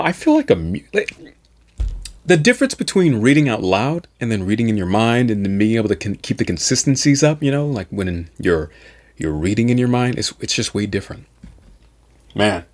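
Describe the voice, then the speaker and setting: A man reading aloud and chatting as an amateur host, halting and self-deprecating in manner. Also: medium pitch. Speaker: amateur audiobook reader and channel host. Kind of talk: narrated novel reading and casual vlog chat.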